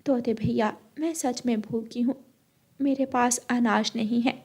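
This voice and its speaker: Cartoon female myna, woman performer: meek beggar, quiet, high, pleading. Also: steady tone, a little slow, husky. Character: meek hungry female myna bird begging. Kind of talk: Hindi cartoon story voice acting.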